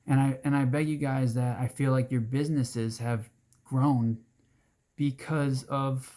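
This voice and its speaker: Male, hoarse and raspy, earnest, rambling advocacy organizer, mid-range pitch. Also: fairly even intonation, measured pace, dark tone. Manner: earnest, rambling with restarts and fillers. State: hoarse, throat-clearing. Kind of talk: casual direct-to-camera talk.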